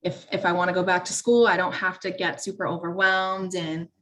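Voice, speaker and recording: A woman's voice: on the low side, flat delivery, fairly quick, pushed and bright, a little hiss or hum. A young woman in her twenties speaking earnestly on a discussion panel. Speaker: panelist, threat detection analyst. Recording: distant from the mic.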